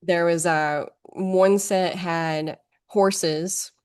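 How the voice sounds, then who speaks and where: A woman from northern Alabama speaks with a thick Southern accent, chatting as a guest on a podcast. She tells her stories quickly and easily, and her voice sits notably low. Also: steady tone, clean tone. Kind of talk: casual conversation.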